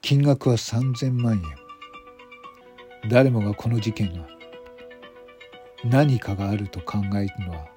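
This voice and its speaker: A man narrating a scripted mystery radio drama in measured, separate phrases. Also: fairly low pitch, warm tone, faint music behind.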